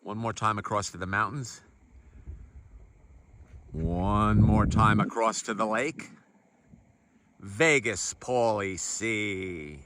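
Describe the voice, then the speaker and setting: A man's voice: on the low side, slow, long pauses, heavy background noise. A man narrating a travel vlog outdoors by a lake, upbeat and enthusiastic as he walks and points things out. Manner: upbeat, enthusiastic touring commentary. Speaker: travel vlogger. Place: outdoors at a desert lake shore.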